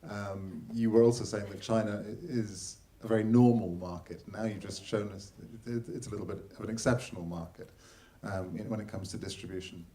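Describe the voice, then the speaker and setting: A man's voice: lowish voice, fairly even intonation, a little fast, warm tone. A man moderating a panel in an informal, conversational way, with stop-and-start phrasing. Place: panel at a film market event.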